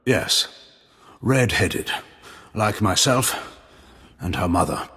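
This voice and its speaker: An actor playing a haughty middle-aged Imperial admiral in a video game, formal, measured and condescending. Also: male voice, lowish voice, lively intonation, sharp tone, slightly rough, in a large hall, heavily acted. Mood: grave.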